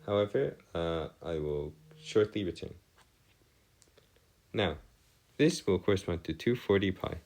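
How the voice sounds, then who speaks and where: Deep-voiced man tutoring in formal, halting speech. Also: lively intonation, a little mellow. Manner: formal, bookish wording. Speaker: math tutor. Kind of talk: improvised lesson explanation on trigonometry.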